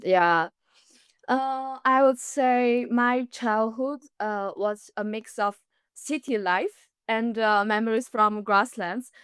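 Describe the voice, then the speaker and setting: A young woman from Inner Mongolia, whose first language is Mongolian, speaks as a podcast guest. Her voice is very clear and crisp, with a dry, close sound. She pauses often on "uh" and laughs lightly between thoughts. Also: fairly high pitch, a bit bright, dry recording. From Inner Mongolia, China. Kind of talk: casual interview talk.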